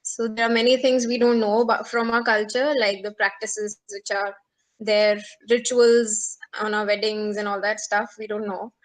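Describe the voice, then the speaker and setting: A woman speaking as a guest on a panel, measured and reflective. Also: medium pitch, level tone, poor audio. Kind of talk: casual panel talk.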